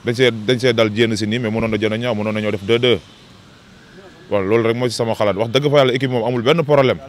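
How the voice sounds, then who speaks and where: Fast-talking frank man outdoors. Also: mid-range voice, fairly even intonation. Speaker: football fan interviewed. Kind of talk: street interview. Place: city street outdoors. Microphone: handheld mic.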